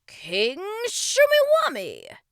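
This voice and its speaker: A woman's voice: high voice, wildly swooping pitch, slow pace, bright, forceful tone, no echo, studio quality, voice pushed up, heavily acted. A woman voicing an exuberant, encouraging king in a children's story, exclaiming and coaching enthusiastically. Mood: proud, announcing. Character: exuberant, encouraging magical king, a pleasant male teacher.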